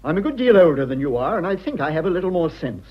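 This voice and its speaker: Fussy, husky upper-class English husband. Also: male voice, a little high, very animated, fast talker, highs cut off. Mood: indignant. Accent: British upper-class RP. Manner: fussy, spluttering, condescending. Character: prim, petulant upper-class husband, politician with taste for decoration. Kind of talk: period radio drama acting.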